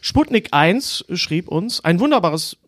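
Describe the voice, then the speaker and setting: A man's voice: sits high, sing-song, takes it slowly, fairly bright tone, no room sound, clean studio sound. A man hosting a podcast, discussing films casually in colloquial language. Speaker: film podcast host. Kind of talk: casual film discussion, reads out listener submissions.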